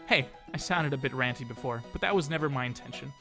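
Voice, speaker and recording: A man's voice: medium pitch, fast, bright, forceful tone. A man reading a visual novel aloud as a let's play host, dramatizing the story and dropping in casual asides. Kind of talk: expressive read-aloud narration of a visual novel. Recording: music behind the voice. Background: game music.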